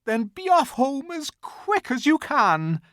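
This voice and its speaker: A reader voicing a timid, tearful male faun who speaks in a high, pleading, drawn-out way while crying. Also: big pitch swings, fairly bright tone, no room sound, clean studio sound, exaggerated voice. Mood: sad, gentle. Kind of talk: audiobook character voice.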